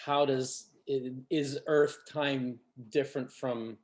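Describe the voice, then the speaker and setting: Male cast member, middle register, conversational, halting and pondering. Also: mid-range voice, steady tone, a little mellow. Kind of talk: casual panel discussion.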